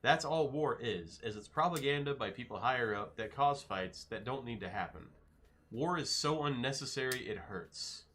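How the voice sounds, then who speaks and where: Bright-voiced man chatting with his stream. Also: medium pitch, lively intonation, normal speed, a little hiss or hum. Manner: loose and joking, answers viewers by name. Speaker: streamer reading chat. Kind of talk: casual chat.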